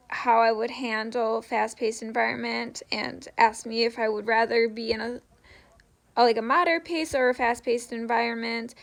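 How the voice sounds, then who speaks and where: A woman's voice: on the high side, gravelly. A young woman chatting casually to viewers as she shares interview tips, often dropping "like" into her sentences. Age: young adult. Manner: conversational, frequent "like" as filler. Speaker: vlogger sharing interview tips.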